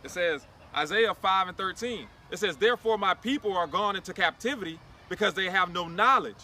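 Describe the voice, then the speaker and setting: A man's voice: high voice, fairly quick, bright, forceful tone. A man co-preaching informally, reading scripture aloud and adding slangy interjections. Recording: outdoor recording with traffic noise.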